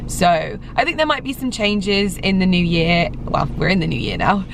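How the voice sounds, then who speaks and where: A young English woman vlogger in a casual, rambling update, her voice slightly croaky after being ill. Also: on the low side, expressive, moderate pace, noisy background. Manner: chatty, rambling, frequent restarts and fillers. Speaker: lifestyle vlogger. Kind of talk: casual vlog update to camera.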